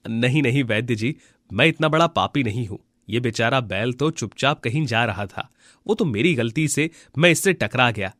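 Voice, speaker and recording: A man playing a kind, honest, devout villager in an animated moral tale, speaking in an earnest, pleading way. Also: medium pitch, moderate pace, dry recording, studio quality, natural voice.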